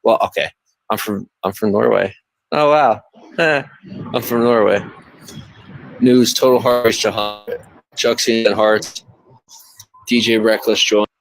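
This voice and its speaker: A man from the USA livestreaming from his phone under a highway bridge, reading out viewer joins and gifts in a running list. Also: steady tone, takes it slowly, vocal fry, pauses often, no room sound, poor audio. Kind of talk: live stream chat reading.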